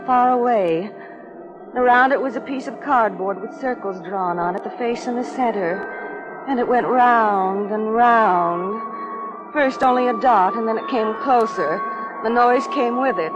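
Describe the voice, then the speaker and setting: A woman's voice: a little high, close to an everyday voice, low-quality recording. A woman playing a troubled young wife haunted by nightmares, earnest and hesitant, in a 1950s radio suspense drama. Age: young adult. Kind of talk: 1950s radio suspense acting and first-person narration.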